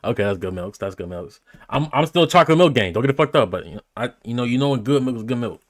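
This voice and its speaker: A man's voice: mid-range voice, animated, quick pace, a little hiss or hum. A young man giving casual, slangy reaction commentary as a streamer, often repeating himself for emphasis, on a close microphone indoors. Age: young adult. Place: small indoor room.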